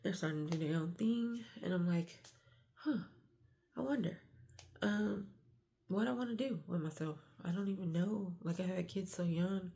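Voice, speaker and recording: Stuffy-nosed woman with a low, gravelly voice. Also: normal speed. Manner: hushed early-morning talk, sighs and restarts. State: stuffy nose, getting sick. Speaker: vlogger. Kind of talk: confessional vlog.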